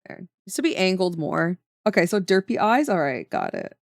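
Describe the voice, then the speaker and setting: A woman's voice: medium pitch, sing-song, moderate pace, no echo. Woman streaming while she draws, bouncy up and down voice, dry close mic, keeps trailing off mid thought. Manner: chatty, talks to viewers while working, trails off mid-sentence. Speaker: streamer drawing emotes. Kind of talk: casual chat with chat while drawing.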